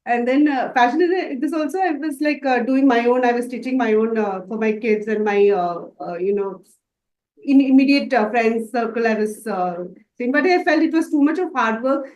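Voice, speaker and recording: A woman's voice: fairly high pitch, moderate pace, darkish tone, roomy sound, poor audio. A woman from Dubai answering interview questions about her makeup work, hesitant and full of fillers and restarts. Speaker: makeup artist student.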